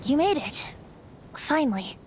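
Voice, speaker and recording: A woman's voice: high-pitched, big pitch swings, a little slow, darkish tone. A woman playing a playful, wine-loving wind spirit bard in boyish form in a video game, breezy and theatrical, with a storyteller's lyrical delivery. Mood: sheepish, playful. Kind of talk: video game character acting. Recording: music behind the voice.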